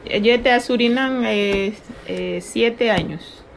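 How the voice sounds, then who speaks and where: A woman's voice: fairly high pitch, takes it slowly, vocal fry, noisy background. A Dominican woman in her forties with a Dominican Spanish accent, talking hesitantly in a casual radio interview, slipping into French now and then. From Dominican Republic. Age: 40s. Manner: hesitant, slips into French, laughs often.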